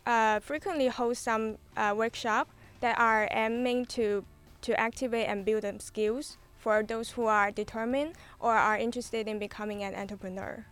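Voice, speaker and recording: A young woman, an exchange student guest on a radio interview, answering with hesitant pauses. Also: on the high side, steady tone, a bit bright, smooth, soft background music. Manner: hesitant, fillers.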